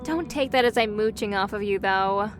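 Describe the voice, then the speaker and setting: A woman's voice: medium pitch, normal speed, natural voice. A woman playing a caring, teasing young best friend in an audio roleplay, chatty and a little hesitant as she talks. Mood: playful, apologetic. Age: young adult. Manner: chatty, hesitant, self-correcting, playfully teasing. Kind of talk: interactive audio roleplay acting.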